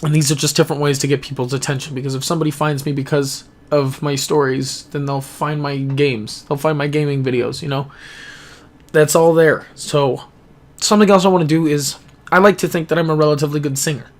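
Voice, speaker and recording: A young man talking earnestly and reflectively into his camera in a rambling personal vlog, pausing and restarting as he thinks. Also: fairly high pitch, flat delivery. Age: young adult. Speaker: gaming YouTuber. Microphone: camera-mounted microphone, close.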